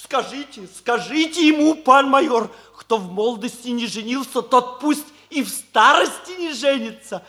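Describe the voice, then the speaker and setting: A man's voice: very high-pitched, animated, moderate pace, hardly any pauses, bright, forceful tone, exaggerated voice. A man playing a blunt, stubborn hussar orderly who complains deferentially and repetitively, in 1960s Soviet radio comedy style. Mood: stubborn, offended. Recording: vintage 1960s radio play recording.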